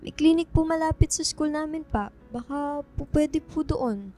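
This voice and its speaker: Woman playing high-voiced anxious schoolgirl daughter. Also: moderate pace, mellow, faint music behind, natural voice. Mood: worried, pleading. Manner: polite, pleading. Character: anxious, respectful school-age daughter, devoted and pleading. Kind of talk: radio drama acting.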